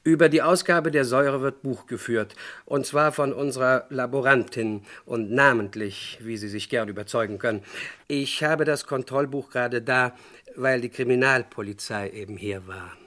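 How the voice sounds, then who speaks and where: An actor playing a firm, courteous factory manager in a German crime radio play, explaining things formally and precisely. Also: male voice, medium pitch, normal speed, pushed and bright, close to an everyday voice. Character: firm, courteous male watch-factory manager under questioning. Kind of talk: German crime radio drama acting, 1950s to 80s.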